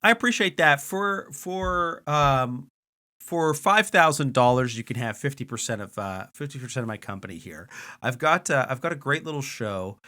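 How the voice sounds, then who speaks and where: A man with a bright, forward voice at an average pitch improvises comic pitches on a podcast. He speaks casually and haltingly, often repeating words as he works out the idea. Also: animated. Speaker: comedy podcast panellist.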